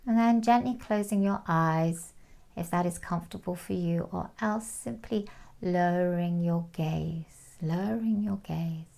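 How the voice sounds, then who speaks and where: A woman leading a guided meditation in a calm, soothing, unhurried manner, with soft phrase endings and long pauses. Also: fairly low pitch, gravelly. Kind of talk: guided meditation, gentle instruction.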